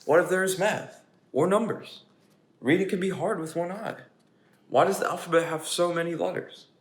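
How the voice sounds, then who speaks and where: A man's voice: high-pitched, normal speed, pitched above the performer's own voice. A man voicing an anxious, dramatic, know-it-all pigeon from a children's storybook, fretful and full of questions. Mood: worried, fretful. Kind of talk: children's storybook character reading. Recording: echoey room, faint background noise.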